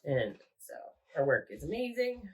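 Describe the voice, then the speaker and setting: A woman's voice: deep voice, big pitch swings, normal speed, a little mellow, slightly rough. A woman with a nasal voice who is sick and congested, chatting casually in a rambling, self-correcting way while showing her craft projects at home. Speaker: craft vlogger. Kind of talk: casual show-and-tell vlog.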